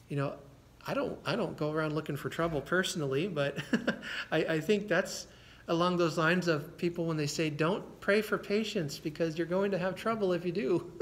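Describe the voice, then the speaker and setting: A man preaching a sermon as pastor, earnest and conversational, often repeating words and laughing softly. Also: a little high. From United States.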